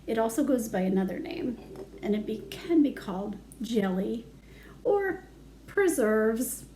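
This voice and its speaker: A woman's voice: fairly high pitch, animated, a little slow, dark tone, slight background noise. A woman reading aloud to young children as a storytime educator, singsong, with simple chatty asides.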